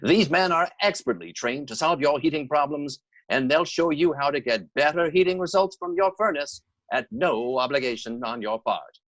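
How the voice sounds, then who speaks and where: An actor playing a heating expert in a vintage radio commercial, giving formal, instructive household advice. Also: male voice, on the high side, lively intonation, moderate pace, few pauses, a bit bright, muffled recording. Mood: helpful, earnest. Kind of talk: scripted 1940s radio commercial.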